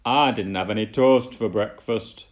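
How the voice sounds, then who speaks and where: Man putting on a booming, bossy railway boss. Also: measured pace, close to an everyday voice. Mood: cross, scolding. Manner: booming, authoritative. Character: stern, bossy male railway controller, loud and commanding. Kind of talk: children's storybook character voice.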